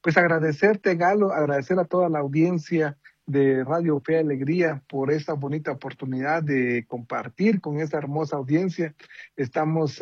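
A man from Guatemala with a Guatemalan accent and a Mayan mother tongue. He joins a radio broadcast over a dry, muffled remote line, and his voice is very husky and slightly high. His farewell is cordial and unhurried. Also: a little mellow, no echo. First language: Mayan language. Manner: cordial, measured, with filler pauses. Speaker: radio network guest. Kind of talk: formal on-air farewell.

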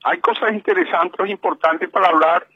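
A man with a Paraguayan Spanish accent, calling into a radio show and speaking slowly with many hesitations. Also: high-pitched, steady tone, sharp tone, slightly rough, no room sound. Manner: slow and measured, with many hesitations. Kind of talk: slow deliberate radio commentary. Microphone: telephone. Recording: muffled phone line.

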